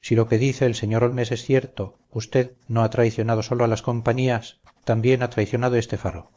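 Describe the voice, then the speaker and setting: Actor as a weary old harbour veteran, muted tone. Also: male voice, mid-range voice, level tone, normal speed, mellow, lo-fi sound, lightly acted. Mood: grave reproach. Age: older. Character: weary veteran harbour pilot, betrayed and reproachful. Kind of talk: audio drama acting.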